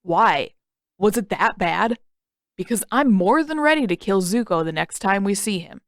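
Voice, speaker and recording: A woman's voice: mid-range voice, expressive, moderate pace, no room sound, clean studio sound, lightly acted. A woman voicing a protective, suspicious teenage boy who prods insistently and with exasperation in a story reading.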